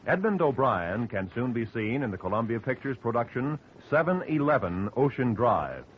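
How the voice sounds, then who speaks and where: Lively 1950s radio announcer, man. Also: medium pitch, a little hiss or hum. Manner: formal, measured announcing. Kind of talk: 1950s radio closing credits. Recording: dull lo-fi 1950 radio transcription with music.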